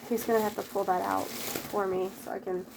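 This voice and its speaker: A woman's voice: medium pitch, little pitch movement, brisk, noisy background. Chatty woman rambling with a head cold. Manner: rambling, chatty, self-interrupting. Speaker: vlogger. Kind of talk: casual chat while tidying. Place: bedroom at home.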